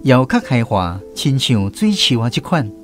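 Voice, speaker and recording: A man with a Taiwanese accent narrating a radio drama in a folksy, expressive storytelling manner. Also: medium pitch, normal speed, faint music behind.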